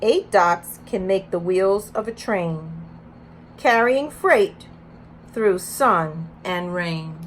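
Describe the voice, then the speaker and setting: A woman's voice: medium pitch, expressive, takes it slowly. Woman teaching through a read-aloud, noisy recording. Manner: instructional, emphatic when reading aloud. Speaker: early childhood educator.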